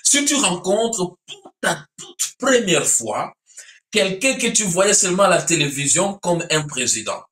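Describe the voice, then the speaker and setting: Guy preaching, kinda high bright voice, repeats stuff for emphasis, echoey. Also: big pitch swings, measured pace. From Central Africa. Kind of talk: sermon-like teaching in a religious discussion.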